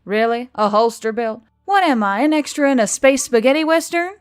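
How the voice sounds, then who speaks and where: A woman's voice: a little high, lively intonation, moderate pace, clean tone, pitched above the performer's own voice, natural voice. A woman performs a hot-tempered female scavenger from an audiobook story. She is biting and sour, full of exasperated sarcasm, and music plays behind her. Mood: sarcastic.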